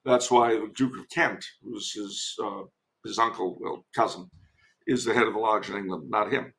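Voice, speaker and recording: A man's voice: fairly low pitch, steady tone, normal speed, vocal fry, fairly bright tone, poor audio. A man giving casual historical commentary as a podcast guest, digressive and given to wry asides.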